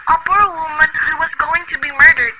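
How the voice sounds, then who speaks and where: Woman playing a shrill, frantic sick woman. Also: fairly even intonation, normal speed, background noise, lightly acted. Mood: panicked. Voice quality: shrill, demanding. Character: frantic bedridden wealthy woman. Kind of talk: amateur radio play cover.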